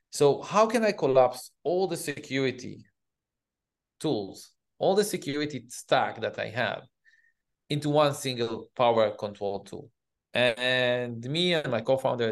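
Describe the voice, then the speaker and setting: A man from Israel with an Israeli accent, explaining things as an interview guest in halting, hesitant phrases. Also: mid-range voice, gravelly. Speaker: guest, cybersecurity company CEO.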